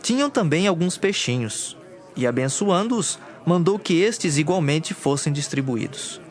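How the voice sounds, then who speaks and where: A man giving formal, measured scripted narration for a dramatized audio Bible. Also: a little high, lively intonation. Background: music.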